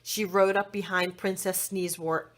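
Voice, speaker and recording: A woman reading a children's story aloud expressively, with dramatic, deliberate phrasing for young listeners. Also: fairly low pitch, flat delivery.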